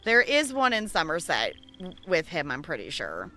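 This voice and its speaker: Bright, animated woman streaming a game. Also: on the low side, moderate pace, a little hiss or hum. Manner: chatty, playful, thinking out loud. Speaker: game livestreamer. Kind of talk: casual stream chat while playing, reading game dialogue aloud.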